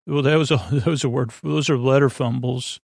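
A man hosting a sleep podcast with a creaky voice, rambling in a soothing, meandering, silly way and fumbling his words as he improvises. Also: mid-range voice, steady tone, a little fast, darkish tone, no room sound, clean studio sound. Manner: soothing, lulling. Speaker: podcast host.

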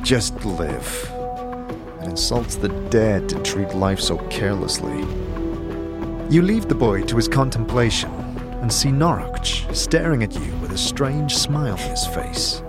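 A man's voice: medium pitch, sing-song, moderate pace. A man narrating a story in the second person in a dramatic audio drama style, with music behind him.

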